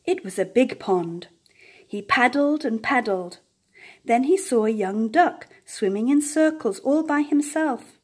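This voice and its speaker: An older woman reading a children's story aloud as an expressive storyteller. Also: on the high side, unhurried.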